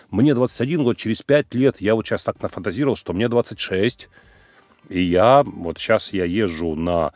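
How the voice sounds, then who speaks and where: Low-voiced Russian-speaking male business coach. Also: a little mellow, no echo. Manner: lecturing, tells anecdotes with acted dialogue. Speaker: business coach answering listener questions. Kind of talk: live call-in advice talk.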